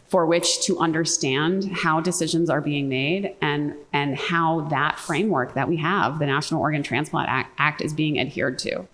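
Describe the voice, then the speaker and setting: A woman on a conference panel, speaking emphatically and quickly, with frequent "like" and "right?". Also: sits low. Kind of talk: panel discussion remarks. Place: conference hall. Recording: reverberant conference hall.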